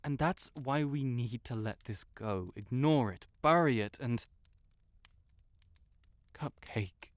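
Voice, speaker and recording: A proud, stuck-up young wizard, acted by a man in a fantasy audio roleplay, speaking at a slow pace with a middling pitch. He is halting and defensive, an exasperated tsundere best friend who is earnestly in love. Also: lightly acted. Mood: anguished, loving.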